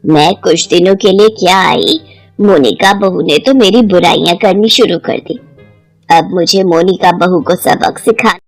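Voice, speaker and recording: A woman acts out an old mother-in-law from a cartoon moral tale, suspicious and quick to complain. Her words drag and drop into mutters, with music playing behind her. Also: fairly low pitch, no echo. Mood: scheming. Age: older.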